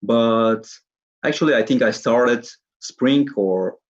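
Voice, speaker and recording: A man's voice: medium pitch, takes it slowly, lo-fi sound. A man in his early twenties with a Bulgarian accent, giving casual answers as an interview guest. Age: early 20s. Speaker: interview guest, calisthenics athlete.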